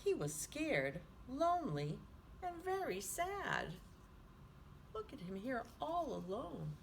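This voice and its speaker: A woman reads a picture book to young children as a storytime host. Her voice is highish and swoops in a sing-song way, taken slowly, with some background noise. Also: creaky. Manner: animated, sing-song, with hushed asides to listeners.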